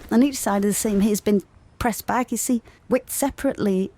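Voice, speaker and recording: An actress playing a friendly, geeky costume seamstress who talks in a chatty, informal, slightly hesitant way in an audio drama. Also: female voice, medium pitch, a little fast, warm tone, close to an everyday voice. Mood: absorbed. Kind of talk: naturalistic audio drama acting.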